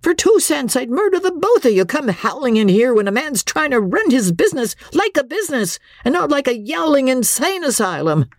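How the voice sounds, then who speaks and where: A woman voicing a raging older riverboat showman who shouts in a squeaky falsetto. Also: sing-song, normal speed, no room sound, studio quality. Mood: furious. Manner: sputtering, shouting in fury.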